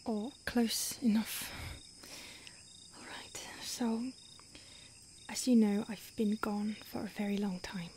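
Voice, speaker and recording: A woman playing an ancient, secretive vampire in a whispered roleplay ASMR, hushed and halting with deliberate pauses. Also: medium pitch, fairly even intonation, natural voice. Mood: grave, confiding. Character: ancient female vampire, secretive, urgent, weary, protective. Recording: faint hiss, outdoor night insects.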